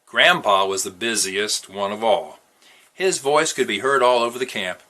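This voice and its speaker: A man reading a novel aloud as an expressive storyteller. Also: fairly low pitch, moderate pace. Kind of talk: expressive read-aloud narration.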